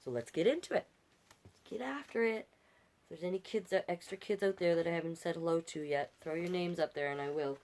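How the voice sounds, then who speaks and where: A woman hosting a livestreamed storytime, reading picture books in an animated way with chatty, encouraging asides to viewers. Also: lowish voice, fairly quick. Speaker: storytime host and reader.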